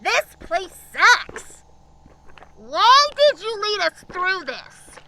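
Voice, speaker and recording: A woman's voice: extremely high pitch, very animated, extremely slow, background noise, heavily acted. A woman playing a bratty, sarcastic, complaining traveler in an audio drama. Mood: exasperated. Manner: whiny, sarcastic, exaggerated. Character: bratty, foul-mouthed complaining female wasteland traveler. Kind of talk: post-apocalyptic audio drama acting.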